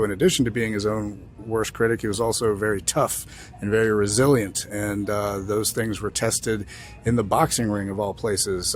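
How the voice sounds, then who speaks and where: A man's voice: on the low side, a little hiss or hum. A man conducting a conversational interview, speaking politely and appreciatively to his guest.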